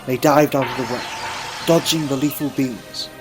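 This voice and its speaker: A man's voice: mid-range voice, fairly even intonation, moderate pace. A man reading scripted dramatic narration for an audio adventure story, with storybook delivery. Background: music.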